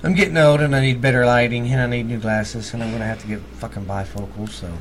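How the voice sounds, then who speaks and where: A man's voice: mid-range voice, fairly even intonation, quick pace, a little hiss or hum. A middle-aged man with a Texan accent, co-hosting a podcast and telling ghost stories in a blunt, joking, profane way. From Texas. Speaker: podcast co-host. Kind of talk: casual ghost-story chat.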